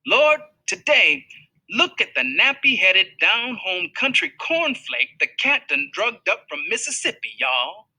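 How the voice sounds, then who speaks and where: A man voicing a mocking schoolboy bully who jeers at a new kid in a drawn-out, raised voice. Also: high-pitched, big pitch swings, sharp tone, pitched above the performer's own voice, heavily acted. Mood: jeering. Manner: jeering, drawn-out taunt.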